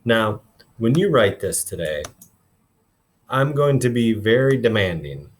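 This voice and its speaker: A man's voice: fairly low pitch, sing-song, measured pace, a little hiss or hum. A man reading a story aloud as a teacher, with informal, instructive explanations along the way. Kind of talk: expressive read-aloud narration with explanatory asides.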